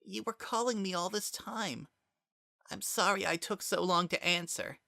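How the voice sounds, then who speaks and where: Gentle child for a storybook drama, acted by an adult: raised pitch, hushed, tender, sorrowful and forgiving. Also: steady tone, moderate pace, gravelly, dry recording, studio quality. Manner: soft, tender, hesitant.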